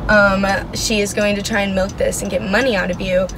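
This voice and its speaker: A woman's voice: mid-range voice, little pitch movement, fairly quick. A young American woman chatting casually and dramatically to camera, like a vlogger telling a story. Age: young adult. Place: inside a car, partly driving. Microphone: camera mounted on dashboard. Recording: loud car and road noise.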